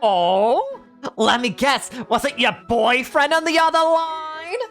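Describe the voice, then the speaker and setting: Woman voicing a high, showy storybook stylist in her thirties: sassy, impatient, forever ranting, pitch leaping all over. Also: very animated, moderate pace, pushed and bright, faint music behind, heavily acted. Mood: teasing.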